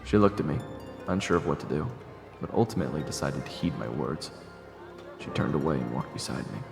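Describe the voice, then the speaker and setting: Snarky young male adventurer played by a man, animated audio drama storytelling with wry sarcasm, gravelly fry. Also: a bass voice, brisk, exaggerated voice. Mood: wry narration. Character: sarcastic young male traveler narrating his own adventure. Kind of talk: first-person dramatic narration and dialogue. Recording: roomy echo with long reverb, music behind.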